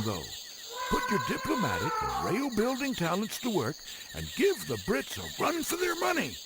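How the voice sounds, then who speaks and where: An actor playing a wry, folksy, teasing railroad narrator in a game cutscene, giving theatrical briefings with drawn-out emphasis. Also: male voice, sits high, very noisy, full character voice. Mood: sly, coaxing. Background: music.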